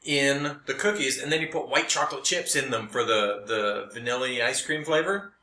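A man's voice: mid-range voice, normal speed, sharp tone. A man co-hosting a podcast, chatting casually in a joking, wry way. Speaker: podcast host. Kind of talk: casual chat. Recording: roomy echo.

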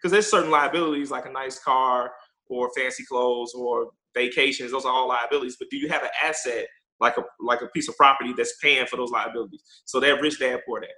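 A man's voice: mid-range voice, steady tone, brisk, sharp tone, rough recording. A man from Chicago, a real estate investor and guest on a podcast interview, talking energetically and repeating words as he thinks.

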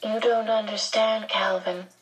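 A woman voicing a small possessed boy in an audiobook, with a canned, calm, coldly reasonable manner. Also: medium pitch, unhurried, husky, pitched above the performer's own voice, poor audio.

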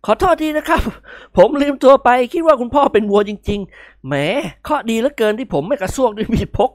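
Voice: mid-range voice, animated, brisk, dry recording, studio quality, voice pushed up, close to an everyday voice. A reader voicing a cheeky young man who jokes and brags in an audiobook. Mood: sheepish. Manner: teasing, bragging banter. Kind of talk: audiobook character voice.

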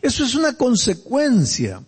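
An older man from Peru with a Peruvian Spanish accent, preaching a sermon and telling personal stories, animated and swinging between loud emphasis and quiet asides. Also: very high voice, moderate pace, husky, in a large hall.

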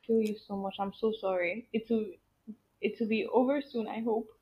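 A woman's voice: medium pitch, brisk. A young woman guest on a podcast, chatting casually and acting out her own reactions. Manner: animated storyteller, quotes herself often. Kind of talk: casual chat. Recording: dull lo-fi audio.

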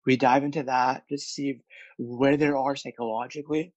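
A man's voice: mid-range voice, little pitch movement, normal speed, no room sound, dull audio. A man who stutters, with repeated words and many fillers, speaking candidly as a guest about his work as a speech coach. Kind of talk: candid interview conversation.

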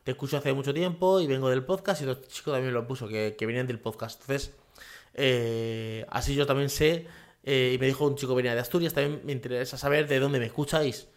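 A man's voice: mid-range voice, fairly even intonation, fast talker. A man hosting a casual solo podcast from his home office, rambling with many fillers and tag questions. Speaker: podcast host.

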